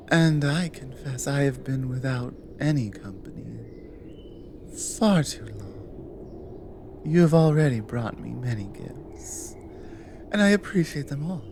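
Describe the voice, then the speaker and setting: A woman playing an exiled cougar guardian in an intimate ASMR audio drama, lonely and wary yet flirtatious, speaking haltingly in murmurs and whispers. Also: extremely low pitch, background noise, full character voice. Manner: slow, halting, breathy murmurs dropping into whispers.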